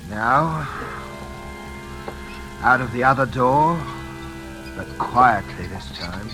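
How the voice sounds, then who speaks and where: A man playing an anxious, respectable gentleman with a secret convict past, agitated and muttering in an old-time radio drama. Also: medium pitch, low-quality recording. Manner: agitated, muttering, repeating himself. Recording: vintage radio drama recording.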